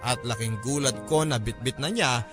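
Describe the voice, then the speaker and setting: A man's voice: medium pitch, normal speed, music in the background, close to an everyday voice. A man performing an earnest young student's story as scripted radio drama narration, polite and confiding. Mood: earnest, troubled. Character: earnest, worried young student friend telling their story.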